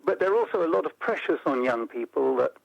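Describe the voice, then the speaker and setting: Older man, from the UK, radio interview guest, measured explanatory tone, gravelly voice, dry close sound. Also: a little high, animated, dry recording, lo-fi sound. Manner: measured, explanatory, builds points step by step. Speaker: interview guest, policy analyst.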